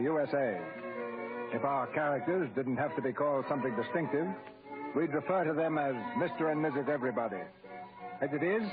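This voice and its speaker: Old radio narrator, American man, music behind. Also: a little high. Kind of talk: scripted old-time radio drama narration. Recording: narrow-band old radio broadcast.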